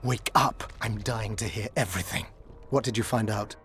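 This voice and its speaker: A man acting an eager, inquisitive companion with a husky voice in a video game. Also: fairly low pitch, steady tone, normal speed, fairly bright tone, slight background noise, lightly acted. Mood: eager, curious. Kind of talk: dramatic video game dialogue.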